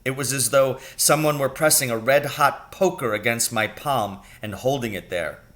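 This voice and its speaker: A man narrates a children's storybook. His voice sits at a medium pitch with a bright, forceful edge, and the delivery is expressive. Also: moderate pace. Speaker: reader of a children's novel.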